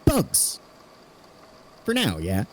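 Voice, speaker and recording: A man's voice: lowish voice, wildly swooping pitch, very slow, full character voice. A man playing a curious, analytical theorist in an audio drama, conversational and inquisitive.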